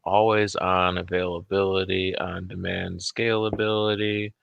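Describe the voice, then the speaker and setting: A man's voice: deep voice, little pitch movement, unhurried, creaky, clean tone, no echo, lo-fi sound. A man chatting casually as a guest on a show, blunt and swearing freely. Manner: blunt, heavily profane. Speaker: guest on a livestream talk show. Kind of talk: casual chat.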